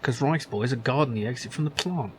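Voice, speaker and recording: A man doing a gruff old worker. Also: mid-range voice, fast talker, slight background noise, lightly acted. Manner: grumbling, dismissive, calls others son. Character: gruff older male factory worker, grumpy and lazy.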